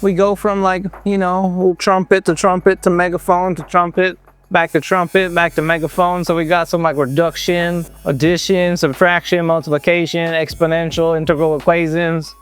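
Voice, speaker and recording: A man's voice: high-pitched, level tone, moderate pace, smooth. A man presenting a fabrication project in a casual, joking vlog style. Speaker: exhaust fabricator presenting a build. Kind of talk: casual vlog chat about a build. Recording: music behind the voice. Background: music.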